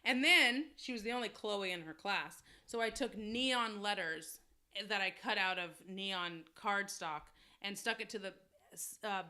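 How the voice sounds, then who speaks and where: A woman's voice: mid-range voice, measured pace, pushed and bright, smooth. A woman in her thirties, a podcast co-host, telling a story in casual chat, laughing often.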